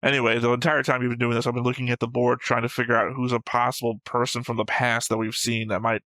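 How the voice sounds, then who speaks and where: A man's voice: mid-range voice, little pitch movement, fast, sharp tone, no room sound. A man co-hosting an anime reaction show, chatting casually and unscripted in a dry, teasing way. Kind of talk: casual unscripted banter and commentary.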